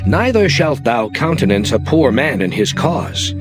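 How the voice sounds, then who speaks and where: A man's voice: mid-range voice, very animated. Solemn man reading scripture over music. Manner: solemn, measured, declamatory. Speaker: Bible narrator. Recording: music behind the voice.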